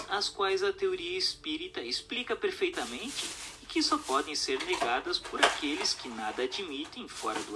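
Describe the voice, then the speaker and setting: A man with a Brazilian accent reading a formal, didactic text aloud as scripted narration. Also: fairly high pitch.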